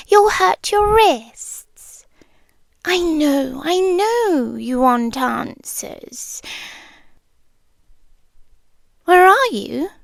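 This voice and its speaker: A British woman voicing a possessive, doting female spider monster in an intimate ASMR roleplay, speaking softly, often whispering, with sweet menace. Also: sits high, gravelly, no room sound, exaggerated voice. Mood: soothing, possessive.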